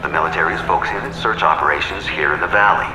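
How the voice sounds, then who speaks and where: An actor playing an older deputy mayor in an audio drama, genial, placating and a little flustered. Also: male voice, very deep voice, racing, recorded from a distance. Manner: placating politician, hesitant. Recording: roomy, off-mic, street noise behind.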